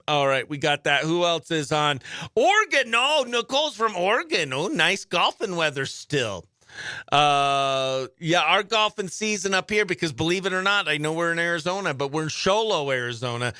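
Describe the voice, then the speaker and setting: An American man hosting a morning radio show, chatting in an upbeat, effusive way with greetings and shout-outs. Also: high voice, clear, resonant tone, dry recording, clean studio sound. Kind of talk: chatty country radio show and livestream banter.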